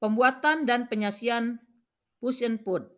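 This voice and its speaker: A woman, a teacher, narrating a scripted lesson and reading out points in short, measured, instructional phrases. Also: a little high. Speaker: vocational school cooking teacher.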